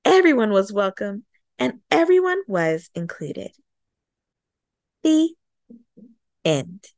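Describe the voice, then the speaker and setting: A woman reading a children's picture book aloud expressively, acting out the dialogue in an animated way. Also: medium pitch, slow, dry recording, studio quality. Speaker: storytime host and reader.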